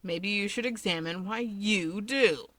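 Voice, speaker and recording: A woman's voice: medium pitch, a little slow, a bit bright, dry recording, close to an everyday voice. A woman playing a haughty, protective older brother in a story, with blunt, dry delivery.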